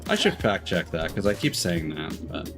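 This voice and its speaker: Low-voiced man casually streaming a game. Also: very animated, normal speed, gravelly. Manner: candid, rambling, swears casually. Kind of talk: casual live gameplay commentary. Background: game music.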